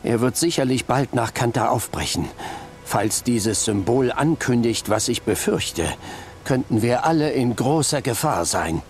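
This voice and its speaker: A man's voice: medium pitch, lively intonation, unhurried, natural voice. A man playing a grave, authoritative mentor in a game cutscene, earnest and commanding. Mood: grave, urgent. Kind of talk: dramatic game cutscene acting. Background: game music.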